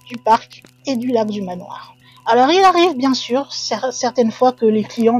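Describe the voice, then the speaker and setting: Chatty woman with a husky voice. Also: on the high side, darkish tone, rough recording. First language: French. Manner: chatty, hedging asides to viewers. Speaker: channel host. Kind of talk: casual storytelling narration.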